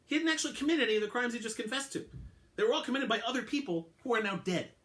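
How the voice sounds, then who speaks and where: A man's voice: very high voice, racing, fairly bright tone, voice pushed up. A man voicing a brusque, dismissive and exasperated male official in a dramatic crime story reading. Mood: firm, dismissive. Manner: emphatic, exasperated. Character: brusque male prosecution liaison, dismissive and emphatic.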